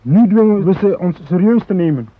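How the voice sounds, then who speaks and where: Grave, determined hijacker, thin phone-like sound. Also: male voice, sits high, expressive, moderate pace, no echo. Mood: resolute, accusing. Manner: insistent, declarative, solemn. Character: determined Moluccan hijacker, idealistic, grave, unyielding. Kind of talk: radio drama dialogue. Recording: dry, thin phone-like band.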